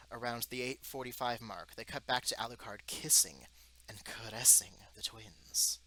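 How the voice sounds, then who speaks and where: A man's voice: on the low side, pushed and bright, a little hiss or hum, poor audio. A man giving a mock-dramatic reading of an article, with a theatrical, melodramatic delivery of exaggerated outrage. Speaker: reader.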